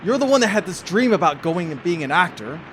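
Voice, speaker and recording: A woman's voice: on the low side, sing-song, fast, slight background noise, voice pushed up. Woman acting a stern, disapproving father. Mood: indignant, berating. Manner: indignant, dismissive, challenging. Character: stern, disapproving middle-aged father berating his son.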